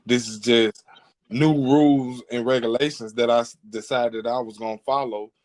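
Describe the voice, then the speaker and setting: A man's voice: medium pitch, fairly even intonation, normal speed, a little mellow. A man hosting a podcast, giving his opinions in an earnest, informal conversational way. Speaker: podcast host. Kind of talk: casual opinionated podcast talk.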